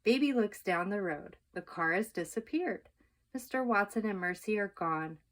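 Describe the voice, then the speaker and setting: Expressive woman reading a children's story. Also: medium pitch, moderate pace. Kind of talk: children's book read-aloud, scripted narration.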